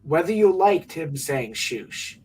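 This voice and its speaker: A man with a fairly high, husky voice argues his case on a casual podcast, stumbling over his words as he goes. His mic sounds distant and thin. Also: sing-song, slow, darkish tone. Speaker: podcast co-host.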